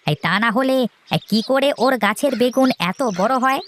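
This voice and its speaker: Woman doing cocky young village lad, high voice, taunting, suspicious, children's cartoon, faint noise and chirping. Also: moderate pace, close to an everyday voice. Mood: accusing. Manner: taunting, accusatory. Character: cocky, suspicious farmer brother, young male.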